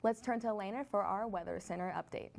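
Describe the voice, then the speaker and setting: A woman news anchor in a studio show, reading her links in an even middle pitch with a smooth, upbeat presenter's flow. She hands off to other reporters between segments. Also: quick pace.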